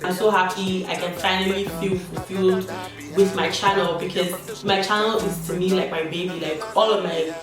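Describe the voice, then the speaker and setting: A woman's voice: fairly low pitch, level tone, moderate pace. A young woman chatting candidly while doing her makeup, with slangy asides. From Nigeria. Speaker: beauty vlogger. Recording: echoey room, distant mic, background music. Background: background music.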